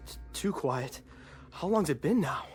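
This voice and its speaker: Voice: medium pitch, animated, unhurried, darkish tone, slight background noise, close to an everyday voice. A performer playing a nervous young male sailor in a radio drama. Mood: uneasy.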